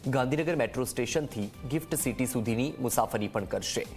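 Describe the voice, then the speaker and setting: A man's voice: medium pitch, fairly quick. Male news anchor over music. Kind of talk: live news bulletin presentation. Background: music bed under the voice.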